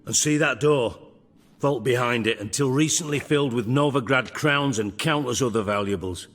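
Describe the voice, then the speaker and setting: An actor playing a menacing, blunt underworld boss with dry sarcasm in a video game. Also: male voice, mid-range voice, normal speed, fairly bright tone, long reverb tail, close to an everyday voice. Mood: businesslike. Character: menacing, sardonic, foul-mouthed male underworld crime boss.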